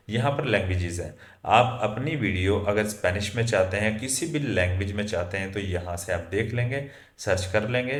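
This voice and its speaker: A man hosting a software tutorial, explaining step by step in an instructive way and often checking that listeners follow. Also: fairly low pitch. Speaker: tutorial host. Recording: echoey room, slightly muffled.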